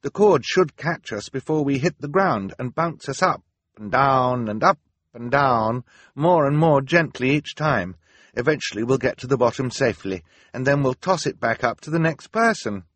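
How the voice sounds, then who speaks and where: A man's voice: medium pitch, expressive, moderate pace, a bit bright, no echo, voice pushed up. A man voicing an earnest, inventive teenage girl, an anxious older sister in an audiobook, explaining things carefully. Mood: determined. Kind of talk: audiobook character acting.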